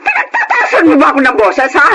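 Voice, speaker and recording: Stern high-voiced scolding grandmother. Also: huge pitch swings, quick pace, very noisy. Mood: angry, reproachful. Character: stern, scolding mother-in-law and worried grandmother. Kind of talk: Filipino radio drama acting.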